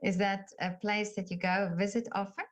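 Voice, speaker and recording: A woman's voice: medium pitch, level tone, moderate pace. A woman interviewing a guest over a video call, effusive and complimentary, with many fillers.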